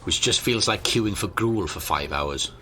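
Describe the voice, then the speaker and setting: A man's voice: low-pitched, expressive, unhurried, sharp tone, slight background noise, full character voice. A man acting in an audio drama as a level-headed friend who reasons and keeps the peace. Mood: agreeable.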